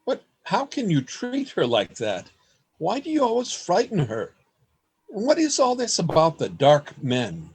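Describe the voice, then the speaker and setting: An earnest, anxious man acted by a man tells his story as if from a diary in a radio drama. His voice is rough-edged and unhurried. The pitch moves widely, giving it a theatrical, deliberate feel. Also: huge pitch swings, darkish tone, exaggerated voice. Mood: pleading, indignant. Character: earnest, anxious man trapped among secret store dwellers. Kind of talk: radio play acting with diary narration.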